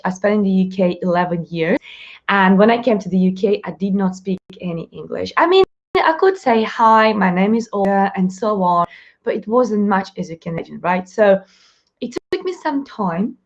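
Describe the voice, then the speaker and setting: A woman with a Russian accent hosting a live stream Q&A, chatty, upbeat and fast-talking, laughing often. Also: mid-range voice, expressive, dull audio. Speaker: live show host, mindset coach.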